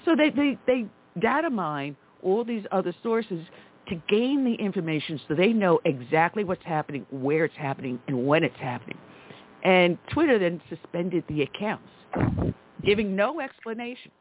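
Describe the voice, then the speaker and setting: A woman's voice: lowish voice, animated, moderate pace. A woman hosting a talk radio show, speaking emphatically and with indignation. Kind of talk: conservative talk radio chat. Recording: tinny phone line.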